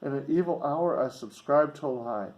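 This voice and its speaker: A man's voice: fairly high pitch, lively intonation, normal speed, a little mellow, voice pushed up, close to an everyday voice, poor audio. A man voicing a pious, wretched young woman condemned to die in a gothic novel, pleading in anguished, old-fashioned speech. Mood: remorseful, desperate.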